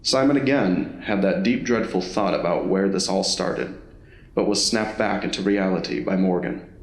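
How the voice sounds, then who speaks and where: Male narrator for a scripted audio drama, lower register, roomy echoing recording. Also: moderate pace.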